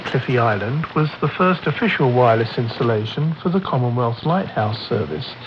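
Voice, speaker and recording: A man reading a scripted feature as a radio presenter, heard through a shortwave broadcast. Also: medium pitch. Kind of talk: scripted narration. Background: radio static and noise.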